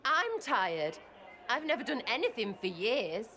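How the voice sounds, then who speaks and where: A woman's voice: a little high, big pitch swings, unhurried, sharp tone, heavily acted. An actress playing a brash, irreverent young rogue woman in a video game, cheeky and slangy, fiercely loyal to her friends. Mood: earnest, warm. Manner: cheeky, slangy, clipped phrasing. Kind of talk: video game character acting.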